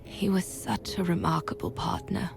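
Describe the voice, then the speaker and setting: An actress playing a grieving woman who reads out her letters in a hushed, sorrowful way for a story game. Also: lowish voice, steady tone, normal speed, gravelly, music in the background. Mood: wistful. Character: grieving woman writing letters to a dear friend.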